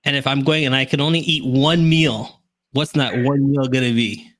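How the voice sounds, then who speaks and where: A man hosting a talk show in an upbeat, casual, animated conversational way. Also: mid-range voice, moderate pace. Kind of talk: upbeat casual interview chat.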